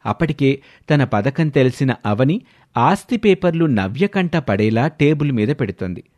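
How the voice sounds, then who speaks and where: A man's voice: medium pitch, lively intonation, normal speed, a little mellow, no room sound. Male storyteller, dry close recording. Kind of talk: scripted story narration with acted dialogue.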